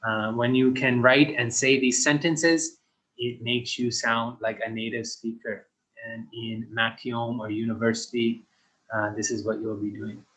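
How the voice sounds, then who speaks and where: Male teacher, recorded classroom lesson for kids; slow, deliberate delivery, mid-pitched, plain and simple phrasing. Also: little pitch movement, a bit bright, poor audio. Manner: speaks slowly and simply for young learners.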